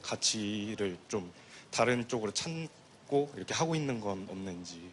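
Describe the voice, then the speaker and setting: A man's voice: on the low side, animated, moderate pace, a little hiss or hum. Hesitant man asking a question. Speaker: audience member asking a question.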